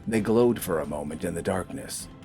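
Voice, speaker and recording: A male audiobook narrator with a fairly low voice. He reads scripted dramatic fiction, with faint music playing underneath. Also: moderate pace, dark tone.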